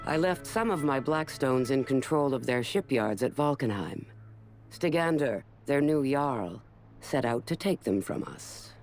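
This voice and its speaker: A slow, cold warlord narrator. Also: male voice, mid-range voice, animated, darkish tone. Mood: cold, calculating. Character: cold, watchful warlord of a dark army, narrating. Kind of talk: scripted video game cutscene narration. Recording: faint music under the voice.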